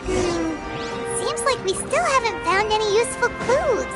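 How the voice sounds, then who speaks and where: A woman voicing a small, cheerful fairy companion in a video game, childlike, squeaky and chatty. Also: big pitch swings, a little slow, full character voice. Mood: friendly. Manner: bubbly, exclaiming, chatty. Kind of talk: video game character dialogue. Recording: music playing behind.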